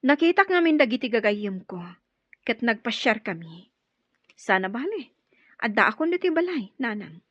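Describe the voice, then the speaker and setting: Secretive young daughter, slightly muffled. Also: female voice, fairly high pitch, sing-song, normal speed, pauses often, fairly bright tone, no echo. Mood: evasive, meek. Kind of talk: Ilocano radio drama acting. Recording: slightly muffled radio drama sound.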